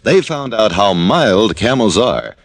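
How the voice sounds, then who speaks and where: A man's voice: lowish voice, big pitch swings, takes it slowly. A man announcing commercials and credits on a 1950s radio show, with an emphatic selling delivery.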